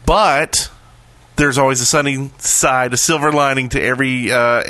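A man's voice: medium pitch, steady tone, normal speed, pushed and bright, no echo. A man hosting a podcast, giving casual announcements and banter. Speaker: podcast host.

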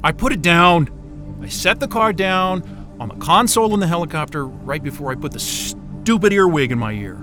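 A man's voice: a little high, moderate pace, music in the background, natural voice. A man playing a frantic, hot-tempered, impatient stranded man in a naturalistic audio drama. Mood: frustrated. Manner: excitable, exasperated, muttering and cursing.

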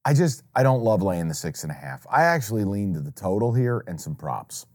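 A man's voice: lowish voice, sing-song, a little fast, a little mellow. An American man co-hosting a sports betting show with casual, opinionated banter, blunt and dramatically emphatic.

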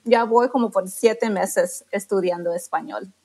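A woman from Texas speaking Spanish as a learner, often pausing to search for words and correcting herself. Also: medium pitch, darkish tone, breathy edge. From Texas, United States. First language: English. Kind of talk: casual interview chat.

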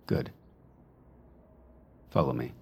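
An actor playing a wealthy, controlling male client, terse and quietly authoritative, in an understated audio drama. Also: takes it slowly, a little hiss or hum, natural voice. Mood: quietly commanding.

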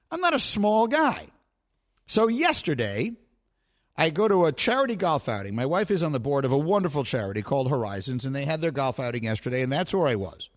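Middle-aged guy hosting sports talk radio, highish voice, bounces all over the place telling stories. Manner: animated storytelling, laughs at himself. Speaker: sports talk show host.

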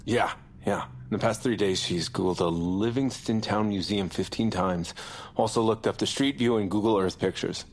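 A man's voice: on the low side, moderate pace, close to an everyday voice. An actor playing a cocky, wisecracking guy who talks in flippant slang in an audio drama. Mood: brisk, matter-of-fact.

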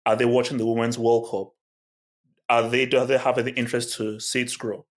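A man with a mid-pitched voice in casual sports talk. He asks pointed questions and pushes his argument with emphatic repetition, as a podcast co-host. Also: little pitch movement, a little fast.

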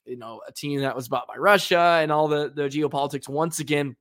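A man's voice: on the high side, steady tone, a little fast, fairly bright tone, dry recording, clean studio sound. A man hosting a sports podcast, talking casually in a rambling way with lots of fillers and restarts. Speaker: podcast host.

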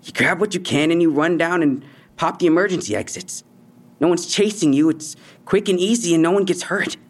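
A young man, played by an actor, with a husky voice talks in slangy, defensive bursts. He postures as tough and dodges questions, with fear underneath, in a naturalistic audio drama. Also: fairly high pitch, fairly quick, natural voice. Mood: cocky, casual. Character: defensive young robbery suspect, tough talk hiding fear.

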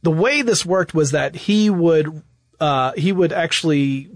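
High-pitched man telling history, dry sound. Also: measured pace, no echo. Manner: storytelling, emphatic repetition. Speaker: podcast co-host. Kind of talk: conversational history explainer.

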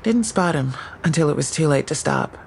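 A woman's voice: low voice, animated, normal speed, gravelly, a little mellow, a little hiss or hum. An actress playing an earnest female patrol officer in an audio drama, reporting in a precise, matter-of-fact way. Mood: sober, factual.

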